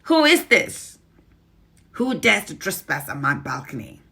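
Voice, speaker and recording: A woman's voice: on the low side, big pitch swings, unhurried, gravelly, pushed and bright, husky, voice pushed up, full character voice. A woman voicing a fierce, commanding female witch leader who snaps out her orders. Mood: imperious. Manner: snapping, imperious.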